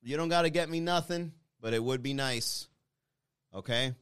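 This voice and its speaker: A man's voice: mid-range voice, normal speed, fairly bright tone, clear, resonant tone, dry recording. A man in his thirties, a comedian chatting casually to his online viewers in a rambling, self-deprecating way. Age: 30s. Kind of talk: casual monologue to an online audience.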